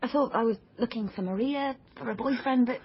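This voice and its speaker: An actress playing an impulsive, awkward young amateur sleuth in a naturalistic radio drama, halting and emotionally open. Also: female voice, fairly high pitch, dull audio, a little hiss or hum, natural voice. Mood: nervous, hopeful. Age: young adult.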